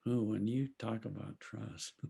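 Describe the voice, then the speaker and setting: A man with a deeply gravelly voice gives a reflective teaching talk over a video call. He speaks slowly and gently, with a husky edge. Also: fairly even intonation. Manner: gentle, unhurried, laughs softly. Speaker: spiritual teacher leading a group call.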